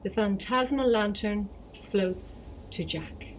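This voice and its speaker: A woman's voice: mid-range voice, slow. A woman narrating a scripted tale for a radio play in a storytelling style. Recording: echoey room, faint noise.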